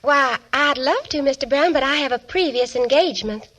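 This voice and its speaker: A woman's voice: high-pitched, normal speed, a bit bright. A woman acting a polite, coy young lady with refined, demure speech in 1940s radio comedy. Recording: faintly noisy 1940s radio recording.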